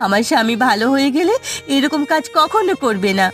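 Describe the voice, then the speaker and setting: A woman's voice: sits high, very animated, fairly quick, fairly bright tone, faint music behind. A woman voicing an anxious, remorseful village wife in a cartoon, plaintive and melodramatic. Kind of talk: cartoon voice acting.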